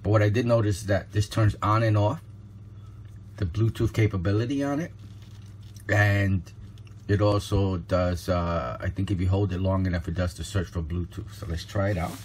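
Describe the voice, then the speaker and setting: A man's voice: sits low, normal speed, darkish tone. Man thinking aloud, noisy home recording. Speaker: product reviewer. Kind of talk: casual unboxing commentary. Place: indoors, at a kitchen counter.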